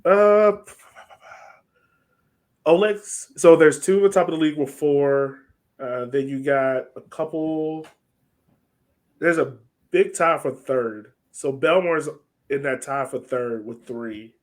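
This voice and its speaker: A man's voice: fairly high pitch, slow, pauses often. Casual man hosting a sports podcast.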